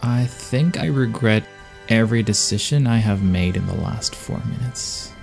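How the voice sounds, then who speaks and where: A man from Venezuela rambles through a casual vlog, joking and mocking himself as he goes. His voice sits low and has a gravelly, creaky edge. Faint music plays in the background. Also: a little slow, darkish tone. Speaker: YouTuber, language learner.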